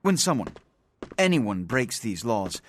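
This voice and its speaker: An actor playing a pompous, authoritative vampire prince in a video game, speaking in a formal, oratorical and measured manner. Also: male voice, medium pitch, big pitch swings, a little hiss or hum. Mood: condescending. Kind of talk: theatrical video game acting.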